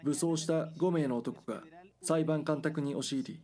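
A man reading scripted documentary drama narration in a measured, serious news-report manner. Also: on the high side, mellow.

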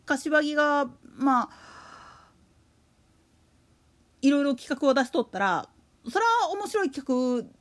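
A woman hosting a solo podcast, talking casually in chatty, slangy, colloquial speech. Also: high voice. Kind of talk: casual monologue about a radio announcer.

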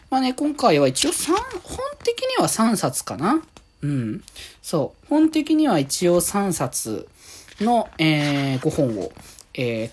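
Female podcast host, low-pitched, animated intonation, husky, halting casual solo chat. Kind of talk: casual solo chat about fan events.

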